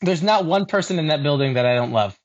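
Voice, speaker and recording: A man's voice: fairly high pitch, expressive, quick pace. Male actor guest, casual interview, upbeat storytelling, stammered restarts, muffled lo-fi audio.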